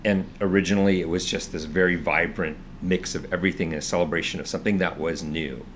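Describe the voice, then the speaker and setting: Man with a very deep voice. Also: steady tone, fast talker, slight background noise. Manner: earnest, hesitant, restarts a lot. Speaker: vlog co-host. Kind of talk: casual chat. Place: indoors, living room with orange sofa.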